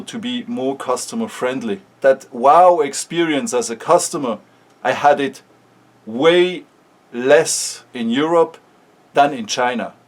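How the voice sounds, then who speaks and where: A Swiss German-speaking man with a Swiss accent, talking frankly in a casual vlog with many restarts. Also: mid-range voice. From Switzerland. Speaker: maker sharing experience.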